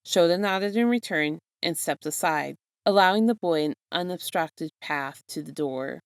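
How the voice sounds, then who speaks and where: A woman narrates a story in a low voice with plenty of creak. Her scripted reading is expressive, and the sound is close and dry. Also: normal speed, dry recording. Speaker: narrator reading fan fiction.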